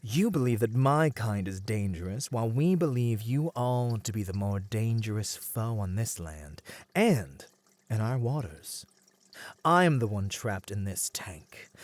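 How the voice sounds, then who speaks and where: A man's voice: on the low side, lively intonation, measured pace, darkish tone, no echo. A man voicing a proud, bitter, melancholy captive merman in an ASMR roleplay, speaking in formal, eloquent phrases. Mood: scornful, bitter. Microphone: close studio mic, dry.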